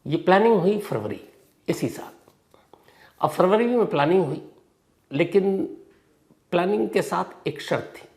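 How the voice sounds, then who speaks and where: A man hosting a crime storytelling show, speaking conversationally with dramatic pauses, strong stresses and phrase endings that drop away. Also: expressive, a little mellow. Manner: dramatic pauses and emphatic stresses, sentences trailing off low.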